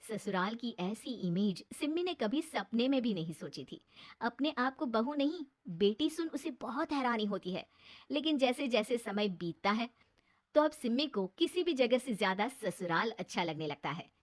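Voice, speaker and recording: A woman's voice: a little high, big pitch swings, normal speed. A woman narrating a scripted family moral story as the storyteller.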